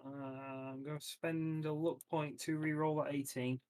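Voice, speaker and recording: Guy playing a tabletop rpg, chatting casually, voice a bit on the high side. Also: flat delivery, unhurried, a little mellow. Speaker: tabletop game player. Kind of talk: casual chat.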